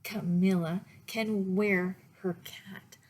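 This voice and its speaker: A woman reading a picture book aloud for story time in a deliberate, expressive way, pausing to speak to her young listeners. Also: mid-range voice. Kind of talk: read-aloud children's storytime, with asides to listeners.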